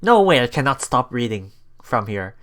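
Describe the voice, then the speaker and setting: Bright, expressive woman streamer, lower-voiced. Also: normal speed. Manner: giggly, excitable, expressive. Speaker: let's play streamer. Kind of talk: casual reading and reacting to a visual novel.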